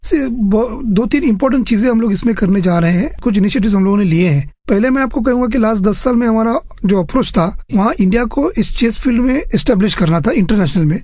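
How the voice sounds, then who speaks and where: A man's voice: high voice, animated, quick pace, few pauses, warm tone, no echo. A man giving earnest, methodical explanations as an interview guest, mixing in English phrases. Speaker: chess federation official interviewed as guest.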